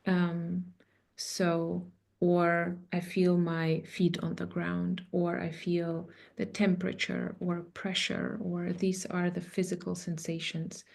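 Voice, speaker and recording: Slow, gravelly-voiced woman facilitator. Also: fairly low pitch, monotone. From Russia. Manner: slow and soft, many pauses and ums. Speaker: circle facilitator. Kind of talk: guiding an online sharing circle. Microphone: video call.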